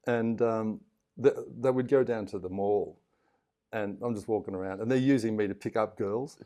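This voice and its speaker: A man in his sixties with an Australian accent, a podcast guest telling stories in a casual, animated way. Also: on the low side, normal speed. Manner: animated storyteller who acts out other people's lines and laughs often. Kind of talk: casual storytelling interview.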